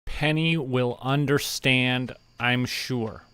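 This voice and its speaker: A man doing relaxed, casual game commentary, thinking aloud and muttering to himself in an unhurried way. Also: medium pitch, smooth, no echo. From Canada. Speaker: game streamer.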